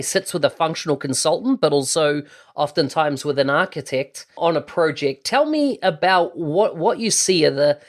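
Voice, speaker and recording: Relaxed podcast host asking questions. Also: medium pitch. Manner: relaxed, steers with friendly questions. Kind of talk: casual podcast interview.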